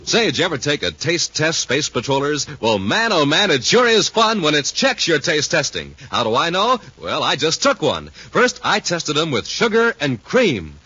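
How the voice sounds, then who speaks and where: A man's voice: a little high, wildly swooping pitch, moderate pace, few pauses, bright, forceful tone, husky. A man with an American accent doing scripted 1950s radio announcing and narration in an emphatic, enthusiastic showman style. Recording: muffled, faintly noisy 1950s radio recording.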